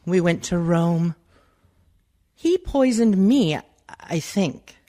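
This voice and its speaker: A woman playing a worldly, scheming rich widow in a theatrical radio monologue, with slow and dramatic phrasing. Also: on the low side, animated, warm tone. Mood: worldly, sly. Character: worldly, insidious, much-married rich woman telling her life from the grave.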